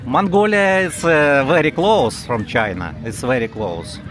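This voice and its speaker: A man with a Russian accent, chatting in halting English with a stranger as he films on a handheld camera at an outdoor festival. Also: medium pitch, sing-song. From Far East Russia. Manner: halting non-native English, curious questions, friendly laughs. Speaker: travel vlogger. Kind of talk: casual street interview. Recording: outdoor crowd and music noise. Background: loud crowd and music.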